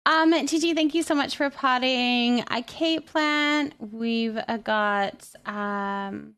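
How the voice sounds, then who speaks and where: High-voiced woman livestreamer chatting gently. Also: slow, clean tone. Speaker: livestream host. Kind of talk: casual livestream chat, thanking viewers and reading their messages aloud.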